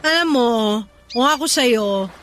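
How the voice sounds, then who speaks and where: A young Filipina resort worker in a radio drama, restless and hungry for a better life. Her voice is high, bright and sassy, swinging widely in pitch with emphatic exclamations. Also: animated, measured pace, soft background music. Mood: defiant, dreamy.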